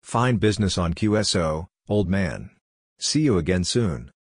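A man's voice: low voice, expressive, a little slow, breathy edge, no echo. A man reading out short, isolated practice phrases as a Morse code lesson narrator.

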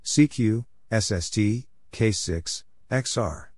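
A man reading scripted short exchanges as a practice narrator, deliberately spelling out letters and numbers one at a time. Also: fairly low pitch, darkish tone, breathy edge, no echo. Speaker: narrator of Morse code practice.

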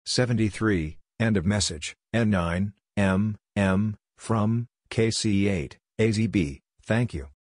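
A man reading out scripted practice phrases as a drill narrator, in short, deliberate, clipped pieces. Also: lowish voice, darkish tone, dry recording, clean studio sound.

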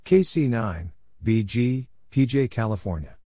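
A man's voice: medium pitch, lively intonation, a little slow, mellow, no room sound, clean studio sound. A man reading out call signs and short exchanges for Morse code practice, spelling them letter by letter in brief phrases.

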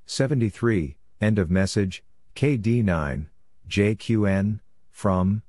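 Slow, low-voiced man reading out practice phrases. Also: a little mellow, no echo, clean studio sound. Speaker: Morse code practice instructor reading transcripts aloud. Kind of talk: scripted practice readout in short phrases, letter by letter.

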